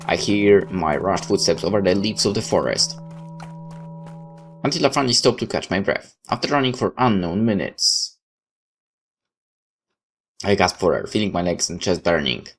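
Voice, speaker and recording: A man's voice: low voice, animated, normal speed, long pauses, faint music behind. A man reading a visual novel aloud like a dramatic storyteller, tossing in his own asides. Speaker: let's player. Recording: clear background noise.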